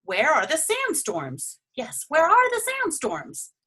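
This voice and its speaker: Storybook baby dragon, played by a woman, young male, worried, earnest, dramatic exclamations, lively swooping pitch. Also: heavily acted. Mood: alarmed. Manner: exclaiming, anxious, drawn-out dramatic phrases. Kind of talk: children's storybook reading.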